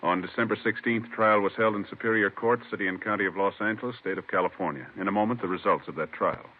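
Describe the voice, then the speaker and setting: A man's voice: on the low side, fast talker. A man with an American accent making formal, official announcements as a 1950s radio announcer. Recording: muffled old radio, music behind.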